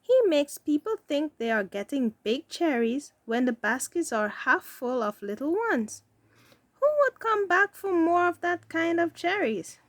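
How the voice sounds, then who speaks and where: A woman voicing a kindly, principled aunt in a storybook, gently and pointedly correcting in a measured way. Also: high-pitched, lively intonation, no room sound, pitched above the performer's own voice. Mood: gently reproving.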